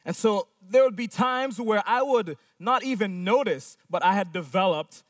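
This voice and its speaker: A man's voice: high-pitched, animated, normal speed, no room sound, clean studio sound, recorded from a distance. A pastor preaching a sermon, driving his points home with repeated phrases and rhetorical questions.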